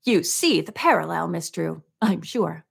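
A sneering male villain from a storybook, acted out by a woman. He taunts with open contempt, posing as a servant while he gloats, and his voice has plenty of creak. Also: lowish voice, lively intonation, a little slow, exaggerated voice. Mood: mocking, menacing.

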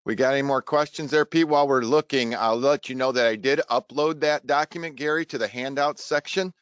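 A man's voice: medium pitch, brisk, a bit bright, smooth, dry recording, highs cut off. A man co-hosting a webinar in a casual way over a video call. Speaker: webinar co-host.

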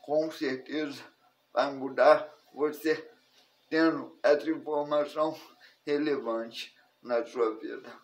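A Brazilian man in his thirties teaching an online lesson to camera, speaking haltingly in short phrases. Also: mid-range voice, fairly even intonation, low-quality recording. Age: 30s. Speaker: personal finance instructor. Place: indoors in a furnished room at home.

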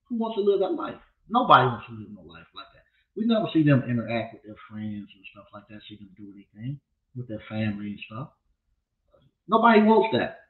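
Man, 50s, livestream host, rambling and blunt delivery, tinny phone-like sound with room echo. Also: sits high. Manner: loose, rambling and blunt, with repeated restarts. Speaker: appliance repair instructor and channel host. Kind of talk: casual livestream talk. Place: small home studio with green walls. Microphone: desk mic on a boom arm.

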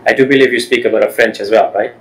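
A middle-aged man hosting an interview over a video call, asking halting questions with frequent restarts and supportive replies. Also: medium pitch, fairly even intonation, gravelly, poor audio. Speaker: podcast host. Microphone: over-ear headphones, video call.

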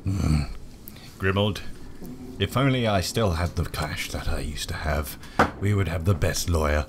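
A man's voice: sits low, a little slow. A man playing in a tabletop roleplaying game, improvising dialogue in a polite, formal, hesitant manner. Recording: noticeable background noise.

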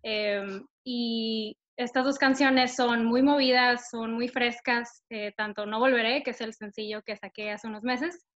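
A woman's voice: on the high side, fairly even intonation, unhurried, few pauses, a bit bright. Young northern Mexican woman, chatty guest on a video call, lightly muffled audio. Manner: upbeat, chatty, many fillers. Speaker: singer-songwriter guest. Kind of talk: casual interview over video call. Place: bright room at home. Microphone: laptop camera on video call.

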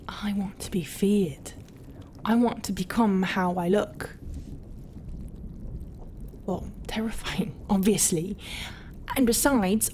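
A woman acts out a tentacled pirate captain in an audio roleplay, proud and brooding. Her medium-pitched voice is slow and guarded, often sinking into a hushed half-whisper, over faint background ambience. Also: a little hiss or hum. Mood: brooding, menacing. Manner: hushed, often breathy half-whisper, sighing, hesitant pauses. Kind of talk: roleplay ASMR acting.

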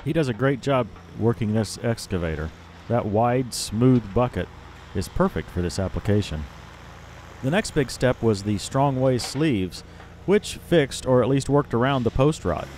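Host, male, mid-pitched, plain steady voice-over narration. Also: a little hiss or hum. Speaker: host of a farm and tractor channel.

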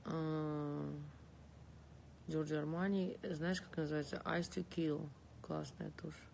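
A woman's voice: sits low, creaky, a little hiss or hum, low-quality recording. A woman in her thirties from Russia, speaking with a Russian accent as she answers viewer comments on a casual live stream from her bed. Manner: relaxed, lying back, reading comments aloud and answering. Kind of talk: casual live chat, switching between languages. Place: bedroom, lying against pillows indoors. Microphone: phone held close.

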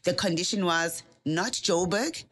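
A South African woman in her early forties, a podcast guest, telling her life story in a warm, assured way with easy laughter, recorded on a desk mic in a small studio. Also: fairly low pitch, measured pace, husky. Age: early 40s. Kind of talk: podcast interview, first-person life story. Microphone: desk mic on stand.

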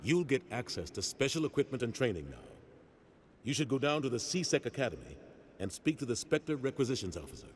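An actor playing a steady, supportive human navy captain in a sci-fi game, instructive in manner. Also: male voice, medium pitch, a little fast, slight background noise, lightly acted.

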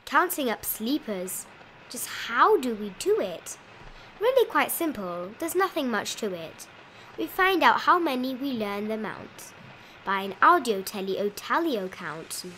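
A woman with a fairly high voice reads a children's picture book aloud over some noticeable background noise. She leans into the rhymes with an expressive, sing-song delivery, as a storybook reader. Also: unhurried, poor audio.

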